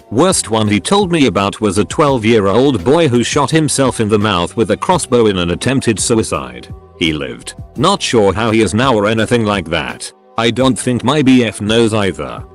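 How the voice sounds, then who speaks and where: A man reading forum stories aloud as a scripted online narration. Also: on the low side.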